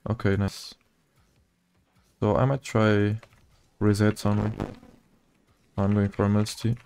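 Mellow, flat Portuguese-accented man. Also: on the low side, slow pace, a little hiss or hum. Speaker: gaming video creator. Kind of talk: casual gameplay commentary.

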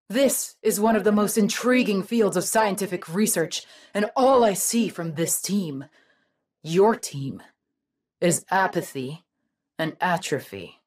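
An actress playing a haughty, disdainful scientist in a video game, measured, precise and condescending. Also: female voice, fairly low pitch. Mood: scornful. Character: haughty, disdainful female scientist, coldly superior.

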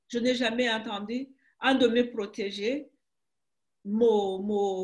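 An older woman with a Rwandan accent speaks in a slightly high voice, halting and emphatic, often restarting her phrases. She talks over a webcam call from a room at home. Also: a little mellow. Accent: Rwandan French. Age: 60s. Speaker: author guest. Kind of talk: conversational interview. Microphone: video call webcam.

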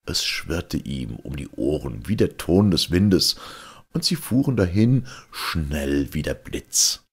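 A man reading a fairy tale as an expressive scripted narration, like an audiobook storyteller. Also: a bass voice, slow pace, vocal fry, husky.

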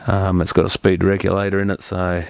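A man's voice: low-pitched, completely flat delivery, fairly quick, creaky, no echo. A man presenting an unscripted hands-on repair tutorial as a technician, explaining each step in a plain-spoken, practical way.

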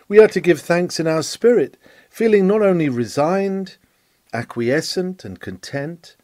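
A man reading an old sermon aloud in a measured, declamatory way, with swelling emphasis. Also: medium pitch, a little mellow, no room sound. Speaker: reader of a historical sermon.

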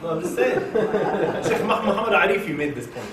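A man from Oklahoma giving an informal Quran class to an audience in a mosque, talking in a jokey, animated way and turning to people in the room. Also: sits high, normal speed, a loud crowd. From Oklahoma, USA. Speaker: Quran teacher. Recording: echoey room, distant mic, faint hiss.

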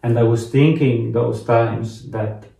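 A man, telling his personal story in an interview, haltingly searching for words. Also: mid-range voice, fairly even intonation, creaky, dark tone, distant mic. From Finland. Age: late 30s. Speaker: interview guest, actor. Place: small home bedroom, indoors. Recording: roomy echo, off the mic.

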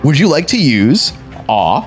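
Animated male game master, lively pitch. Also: unhurried. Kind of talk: narrating a tabletop horror game, casual. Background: faint music.

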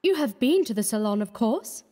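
A woman's voice: fairly high pitch, sing-song, moderate pace, dark tone. A woman voicing a coquettish, sweetly malicious young Parisian lady in a storybook reading. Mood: amused, teasing. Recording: echoey hall-like reverb.

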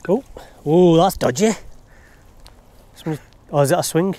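A man's voice: a little high, moderate pace, dark tone, soft background music. Chatty man magnet fishing by a river. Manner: chatty, laughs often, easygoing. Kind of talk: casual commentary while magnet fishing. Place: riverbank outdoors. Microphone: body-mounted action camera.

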